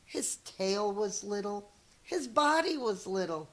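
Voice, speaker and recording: A middle-aged woman, a teacher reading a children's picture book aloud in a deliberate, expressive storytelling manner with chatty asides. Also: medium pitch.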